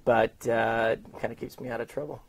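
Middle-aged American male, mid-pitched, relaxed interview storytelling, explains things step by step and acts out bits of dialogue. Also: a little fast, creaky. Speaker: sports public address announcer being interviewed. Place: open indoor lobby studio at a desk.

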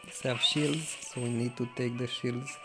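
A man's voice: mid-range voice, level tone, normal speed, loud music behind the voice. A man giving casual, laid-back game commentary in short reactions. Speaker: gamer commentating on gameplay.

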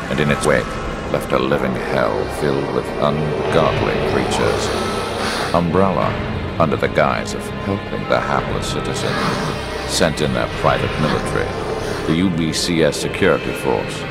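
A man narrating a horror video game gravely and ominously, with a gravelly voice. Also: lively intonation, moderate pace, loud music behind the voice, heavily acted. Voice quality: very deep, gravelly.

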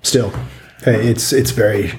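A man's voice: lowish voice, fairly even intonation, unhurried, a little mellow, a little hiss or hum. A man chatting in an easygoing way as a returning podcast co-host. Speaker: regular co-host. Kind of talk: casual chat. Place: small office room indoors.

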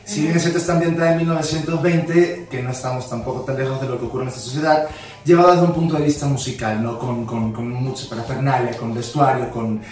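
A man's voice: mid-range voice, fairly even intonation, fast talker, a little mellow. A man in his thirties from Ecuador, an actor talking earnestly in an interview, listing points and repeating words as he goes. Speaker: musical theatre actor. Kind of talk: casual interview chat. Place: office room with glass wall, seated on chairs. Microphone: far camera mic. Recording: very echoey room from far off.

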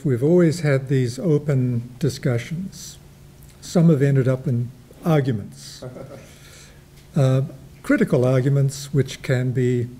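An older man with a New Zealand accent speaks in an unhurried, reflective way, dropping in wry asides. His voice is very dark and mellow, and he talks at a slow pace while moderating a panel in a gallery room. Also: mid-range voice. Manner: slow, reflective, with dry humor and fillers. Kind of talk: panel discussion remarks.

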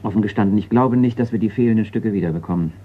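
A man's voice: fairly low pitch, very fast, close to an everyday voice. An actor in a radio crime drama playing a sober, insistent male insurance executive, formal and earnest in manner. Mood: pessimistic, concerned. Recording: dull, noisy radio play recording.

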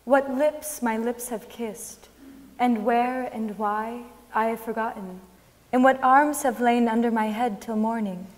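A young woman giving a dramatic reading from a script on stage. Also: on the high side. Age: young adult. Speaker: reader on stage. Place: large wooden concert hall stage. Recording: reverberant concert hall.

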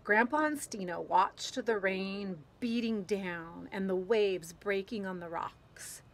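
A woman reading a children's picture book aloud outdoors in a gentle, deliberate way, pausing between phrases. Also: medium pitch. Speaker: story reader. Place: outdoors, garden. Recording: clear outdoor background noise.

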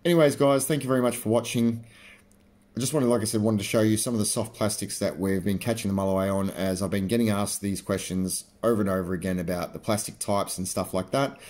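A man in his thirties with an Australian accent, chatting casually to camera about fishing lures, relaxed with frequent fillers. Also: lowish voice, quick pace. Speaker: fishing vlogger. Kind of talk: casual explanatory talk to camera. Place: indoors by a garage door.

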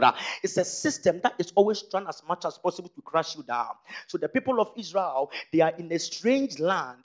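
Lively call-and-response preacher. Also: male voice, fairly high pitch, dull audio. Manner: call-and-response preaching, rising to loud exhortation. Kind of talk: sermon. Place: large stage hall with podium. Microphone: handheld mic.

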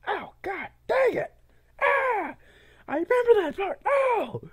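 A man casually commentating a game, congested, laughing often and yelling when he fails. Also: extremely high pitch, very animated, slow pace. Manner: laughs often, yells at failures. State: congested, stuffy-sounding. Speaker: gameplay commentator. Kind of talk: casual let's-play commentary.

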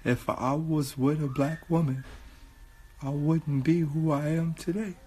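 A man in his thirties from with a hoarse voice, talking earnestly and repetitively into a phone held at arm's length in a small room. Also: fairly high pitch, steady tone, measured pace, mellow, slight background noise. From Watts, California. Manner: earnest, repetitive, building to raised voice. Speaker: vlogger. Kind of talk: casual selfie monologue.

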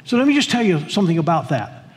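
A man's voice: on the high side, big pitch swings, quick pace, slight background noise. Older male pastor preaching in a reverberant church. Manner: earnest, repeats words and phrases, leads congregation reading. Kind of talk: sermon. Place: church sanctuary. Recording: long hall reverb in a church.

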